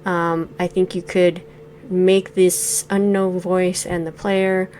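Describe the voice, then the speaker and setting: A woman's voice: fairly low pitch, little pitch movement, slow, a little mellow, slight background noise. A woman in her forties giving thoughtful, hesitant let's play commentary with many fillers while reviewing a game. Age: 40s.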